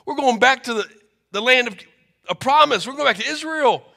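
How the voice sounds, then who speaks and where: A man's voice: sits high, slow pace, vocal fry, pitched above the performer's own voice, heavily acted. A man doing an amazed old mother. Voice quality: excited, exclaiming.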